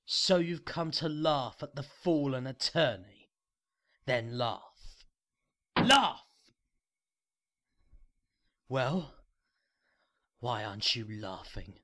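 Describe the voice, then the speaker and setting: An actor playing a proud, bitter young prosecutor, condescending and sardonic, in a dramatic fan audio drama. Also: male voice, medium pitch, animated, drawn-out words, pauses often, pushed and bright, heavily acted. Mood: scornful.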